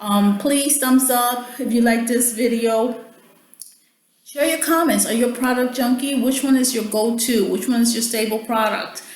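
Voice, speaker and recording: A woman's voice: a little high, moderate pace. A woman whose first language is Spanish, talking casually to viewers about products she bought and often checking in with "okay?". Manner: chatty, keeps checking in with "okay?". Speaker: beauty vlogger. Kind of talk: casual product haul chat. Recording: echoey room, faint background hum.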